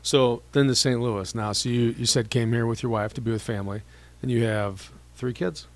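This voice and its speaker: A man's voice: mid-range voice, fairly even intonation. A man hosting a casual podcast interview, a mortgage lender with a chatty, upbeat manner. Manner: chatty, upbeat, quick affirmations.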